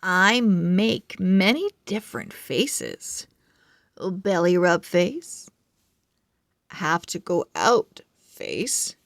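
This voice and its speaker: A woman voicing a proud, excitable little male pug telling his diary in a children's storybook, with dramatic, earnest phrasing. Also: on the low side, drawn-out words, creaky, no room sound, clean studio sound, voice pushed down, full character voice. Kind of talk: children's storybook character narration.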